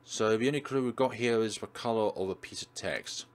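Low-voiced man guiding through a game. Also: brisk. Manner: instructional, step by step. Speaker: let's play host. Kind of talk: game walkthrough commentary.

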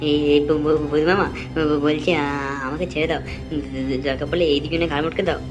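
A woman's voice: low-pitched, steady tone, a little fast, highs cut off, over music, close to an everyday voice. A woman voicing a cowardly young man in a comic cartoon, a stammering, nervous, wheedling sidekick full of excuses. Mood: terrified, pleading.

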